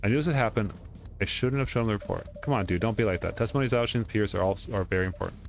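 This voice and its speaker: A man with a lowish voice reads game dialogue aloud at a quick clip. He trips over the odd word and corrects himself as he goes. Faint game music runs behind him. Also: gravelly. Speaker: let's play streamer. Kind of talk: reading game dialogue aloud during playthrough.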